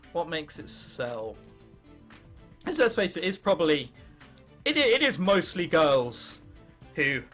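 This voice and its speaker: A man's voice: on the high side, fairly bright tone. A young man of about nineteen with an English accent, giving casual, jokey gaming commentary and reading game text aloud. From England. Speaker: gaming video host.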